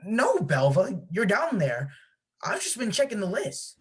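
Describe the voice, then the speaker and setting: A woman's voice: medium pitch, wildly swooping pitch, a little slow, husky, heavily acted. A woman playing a beleaguered, folksy small-town man in charge of a solemn tradition, in an amateur play acted over video call. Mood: defensive. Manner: folksy, exasperated, officious. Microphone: video call microphone.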